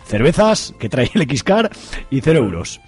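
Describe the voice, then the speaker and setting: A man's voice: fairly high pitch, big pitch swings, measured pace, a little mellow, husky, soft background music. A man with an accent from Spain hosting a radio show, chatting casually in a joking, colloquial way. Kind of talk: casual unscripted outro chat.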